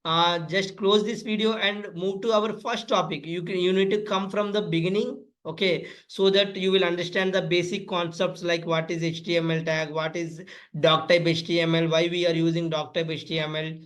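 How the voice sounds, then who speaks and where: High-pitched Indian man teaching. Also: little pitch movement, moderate pace, fairly bright tone. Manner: instructional, repetitive phrasing, addresses listeners as friends. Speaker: tutorial instructor. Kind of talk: step-by-step screen-reader coding lesson.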